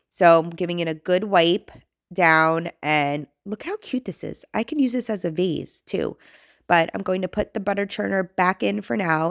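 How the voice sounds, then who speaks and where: Thirties mom vlogger, gravelly and nasal, rambling and self-deprecating, lowish voice, dry close sound. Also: female voice, dry recording. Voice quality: raspy and nasal. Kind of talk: chatty voice-over narration.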